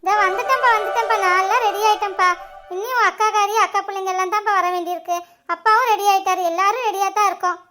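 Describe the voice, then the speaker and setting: A woman playing a nagging, sharp-tongued mother who teases and scolds her grown children in a comedy skit. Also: very high-pitched, fairly even intonation, faint music behind. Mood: hurried. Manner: scolding, teasing, fast.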